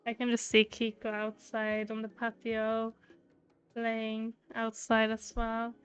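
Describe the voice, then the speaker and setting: Clear, chatty woman streamer, fairly high voice. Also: completely flat delivery, slow pace, highs cut off. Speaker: game streamer. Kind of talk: casual livestream chat. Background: game music.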